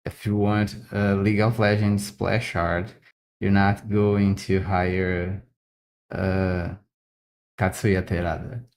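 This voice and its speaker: Slow, deep-voiced Brazilian man. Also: level tone, darkish tone. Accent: Brazilian Portuguese. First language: Brazilian Portuguese. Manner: halting, repeats words while thinking. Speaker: illustrator. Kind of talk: casual talk while drawing.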